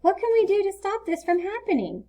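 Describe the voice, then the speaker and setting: A young storybook boy, as a woman reader puts him on, speaking in a high, raised voice. He is earnest and expressive, a child who cares hard about things. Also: a little fast. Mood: earnest, questioning. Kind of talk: children's storybook read-aloud.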